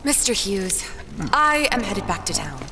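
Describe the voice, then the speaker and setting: A woman playing a proud, stubborn expedition leader in an adventure audio drama, speaking in a dry, brisk, commanding and teasing way. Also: mid-range voice, big pitch swings, noisy background. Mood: indignant, firm.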